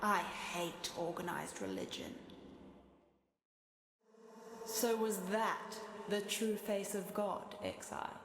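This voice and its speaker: A woman's voice: mid-range voice, big pitch swings, measured pace. A woman playing an arrogant, vengeful fire goddess in a video game, speaking in a haughty, sardonic, theatrical way. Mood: contemptuous. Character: vengeful, arrogant fire goddess bound in a sword, mocking and bloodthirsty. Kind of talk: scripted video game character voice lines. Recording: roomy echo, clear background static noise.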